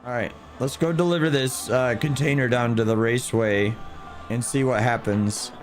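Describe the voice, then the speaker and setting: A hoarse man who has nearly lost his voice, chatting casually with viewers and a teammate while livestreaming a game. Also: mid-range voice, steady tone, moderate pace, soft background music. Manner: chatty, greets viewers by name. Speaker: streamer playing a driving sim. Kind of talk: casual live-stream chat.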